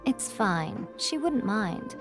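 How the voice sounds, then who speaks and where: An actress voicing a sly, worldly priestess in a video game, speaking with teasing, wry elegance. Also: female voice, mid-range voice, sing-song, slow, music in the background. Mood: teasing, amused. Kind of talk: scripted video game character dialogue.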